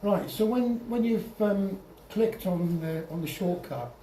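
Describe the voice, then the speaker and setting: Man, informal tutorial presenter, halting restarts, roomy echo, distant mic with faint noise. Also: high voice, lively intonation, dark tone. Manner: halting, repeats and restarts phrases. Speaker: presenter at an amateur radio club. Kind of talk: informal software tutorial over slides.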